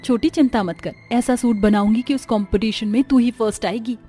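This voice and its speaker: A poor young seamstress and devoted elder sister played by a woman speaks in a fairly high voice. She sounds earnest, soothing and protective, as in an animated fable with background music. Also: brisk, natural voice. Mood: reassuring.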